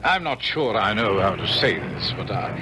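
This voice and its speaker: Suave schemer with swooping delivery. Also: male voice, lowish voice, big pitch swings, normal speed. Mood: feigned reluctance. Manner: polite, hesitant, insinuating. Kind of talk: 1970s radio drama acting. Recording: noisy vintage radio recording.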